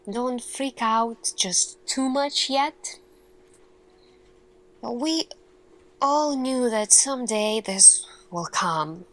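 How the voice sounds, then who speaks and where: Woman playing an earnest lady lead scientist, soft asmr roleplay, really slow n thoughtful, lots of pauses, voice kinda high. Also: rough recording. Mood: reassuring, solemn. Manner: slow, deliberate, pensive, with long pauses.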